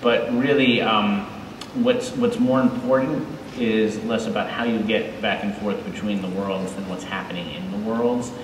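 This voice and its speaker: A man speaking as a show creator on a live panel, measured and careful in a conversational way. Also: lowish voice, steady tone. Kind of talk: live panel interview, explanatory. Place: large indoor convention hall stage. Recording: echoey hall, distant, crowd noise.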